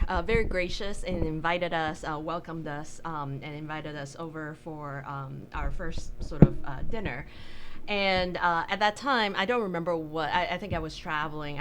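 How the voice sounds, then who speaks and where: Low-voiced woman from Taiwan, many fillers. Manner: hesitant, many fillers, laughs easily. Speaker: bakery co-owner, guest. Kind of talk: casual interview talk.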